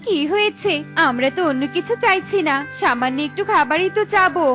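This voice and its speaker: A shrill, whiny cartoon bird, voiced by a woman, who is greedy, jealous and quick to run away. Her very high voice wheedles and complains. Bright children's music plays under her. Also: animated. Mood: scheming. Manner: whiny, scheming. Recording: with light background music.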